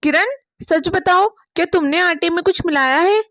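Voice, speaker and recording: High-pitched, gently firm elder sister-in-law, woman narrator acting. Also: normal speed, no echo, studio quality. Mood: questioning. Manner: instructive, big-sisterly. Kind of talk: dramatized storybook dialogue.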